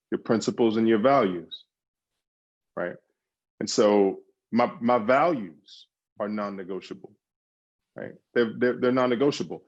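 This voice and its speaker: A man's voice: fairly low pitch, a little mellow, slightly rough, lo-fi sound. A man talking as a guest on a podcast, answering in emphatic bursts with repeated words and restarts. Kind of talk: conversational interview answers.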